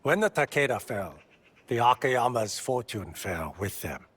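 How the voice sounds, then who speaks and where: An actor playing a sage, formal keeper of clan lore in a video game, with a very raspy, cautionary delivery. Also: male voice, mid-range voice, sing-song, measured pace, fairly bright tone, exaggerated voice. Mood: knowing, reflective. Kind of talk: video game cutscene acting.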